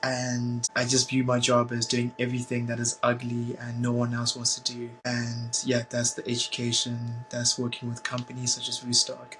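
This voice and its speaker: A young man from Zimbabwe, a guest in an interview, talking casually in a halting way with many restarts. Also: medium pitch, monotone, a bit bright, soft background music, rough recording. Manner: halting, repeats words and restarts often. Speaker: guest, bitcoin community founder.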